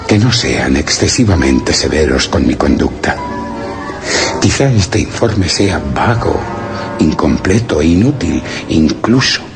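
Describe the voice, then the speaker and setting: A grave, uneasy chronicler in a suspense radio play. His voice sits extremely low and moves slowly, formal and literary, fading into breath as phrases close. Music plays clearly behind him. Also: full character voice. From Spain. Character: solemn, anxious male chronicler of a mysterious encounter. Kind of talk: radio horror drama, first-person narration of a written account.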